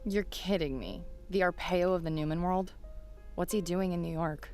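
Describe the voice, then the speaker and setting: A woman with a fairly low voice acts as a sardonic journalist in an audio drama. She probes in a pointed, challenging manner, with faint music behind her. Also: fairly even intonation, moderate pace, a little mellow, lightly acted. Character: probing, sardonic female journalist.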